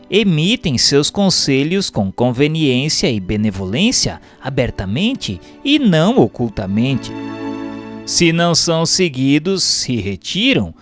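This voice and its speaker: A man with a Brazilian accent reading a book aloud as a formal, measured, didactic narration. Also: mid-range voice.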